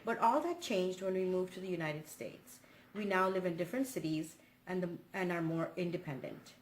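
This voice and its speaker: A woman's voice: fairly low pitch, moderate pace. A woman from India reading a scripted personal account as a student presenter. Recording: roomy sound with faint noise.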